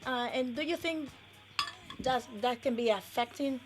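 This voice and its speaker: A woman's voice: sits high, normal speed, over music. Earnest middle-aged woman radio host. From Dominican Republic. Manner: earnest, broken English phrasing. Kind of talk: radio talk show chat. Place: radio studio.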